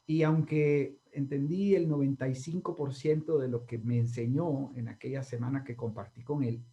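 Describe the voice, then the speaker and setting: A man's voice: mid-range voice, steady tone, normal speed, warm tone. A man with a Guatemalan accent interviewing a guest, rambling with many fillers and tag questions. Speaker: interviewer, channel host. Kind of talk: casual interview.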